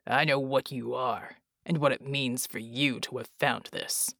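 A woman voicing a world-weary male mercenary who talks bluntly in a low, gravelly drawl. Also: fairly even intonation, measured pace, a bit bright, no echo, clean studio sound, exaggerated voice. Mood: gruff.

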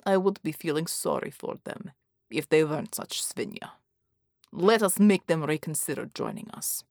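A woman's voice: deep voice, expressive, normal speed, creaky, darkish tone, dry recording, studio quality, voice pushed down. A woman voicing an old Soviet male fighter in an audiobook, wry, with formal phrasing.

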